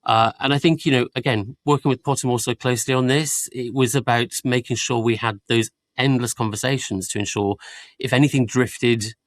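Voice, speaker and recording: A man's voice: mid-range voice, fairly even intonation, brisk, dry recording. A man answering panel interview questions as a production guest, articulate and explanatory, often dropping in small filler phrases. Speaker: audiobook production team member interviewed as a guest.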